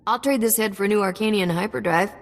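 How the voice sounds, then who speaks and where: A woman's voice: mid-range voice, quick pace, natural voice. Woman playing a cocky smuggler captain, reverberant. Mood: sardonic. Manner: sardonic, confident. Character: cocky, wisecracking female smuggler starship captain. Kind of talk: video game cutscene acting. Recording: long reverb, faint music.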